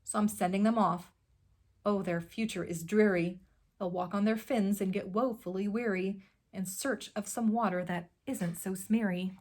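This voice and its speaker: Woman voicing a grumpy little male storybook creature, croaky, coughing, indignant, high-pitched. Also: fairly even intonation, normal speed, darkish tone, close to an everyday voice. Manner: scolding, indignant. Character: small grumpy male forest creature, scolding.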